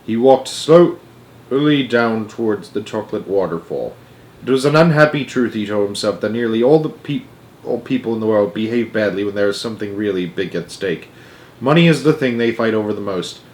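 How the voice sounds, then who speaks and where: A man reading a children's story aloud as an expressive narrator. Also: fairly low pitch, moderate pace, low-quality recording.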